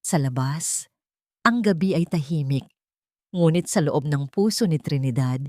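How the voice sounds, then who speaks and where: A woman narrates a melodramatic audiobook in a low pitch. Her delivery is solemn and suspenseful, and the sound is very dry, with no room around it. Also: expressive, unhurried, darkish tone, no room sound. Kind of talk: dramatic scripted story narration.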